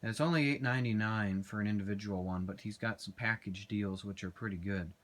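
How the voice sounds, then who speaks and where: A man's voice: on the low side, a little fast, a bit bright. A man giving a casual, unscripted walkthrough as an amateur radio operator, plain-spoken and a little hesitant.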